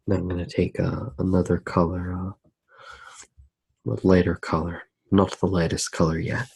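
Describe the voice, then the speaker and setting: A man with a very low, mellow, husky voice narrates an ASMR drawing session. He speaks softly and without hurry, halting often and leaving long pauses between short phrases. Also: flat delivery, no echo. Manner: soft-spoken, unhurried, halting with long pauses. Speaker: ASMR artist demonstrating a drawing.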